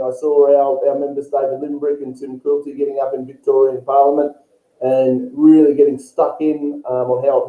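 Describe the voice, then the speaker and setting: Australian man, talk show host, blokey and chatty, slightly high voice, thin phone-like echoey recording. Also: mellow. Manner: chatty, blokey, says mate often. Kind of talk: casual political interview.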